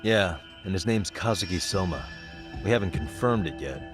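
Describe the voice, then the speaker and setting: An actor playing a level-headed detective in an English game dub, measured and explanatory as he briefs his allies. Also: low voice, expressive, music in the background. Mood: serious, steady.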